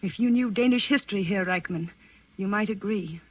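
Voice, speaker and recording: An actress playing a shrewd, poised Danish countess in a 1940s radio drama, measured and courteous with dry irony. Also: female voice, medium pitch, natural voice. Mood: measured, knowing. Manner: measured, courteous, dryly ironic. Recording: dull vintage radio broadcast.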